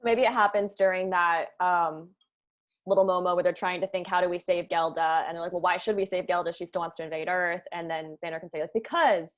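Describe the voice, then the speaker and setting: A woman's voice: lowish voice, fairly even intonation, brisk, lo-fi sound. Casual woman leading a group discussion. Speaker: writer leading a script table read.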